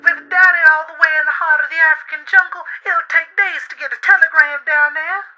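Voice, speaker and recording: Actress as overwrought adoring sister, high and piercingly bright, vintage radio tape. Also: female voice, steady tone, fairly quick, heavily acted, low-quality recording. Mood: anxious. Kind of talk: 1950s radio melodrama acting.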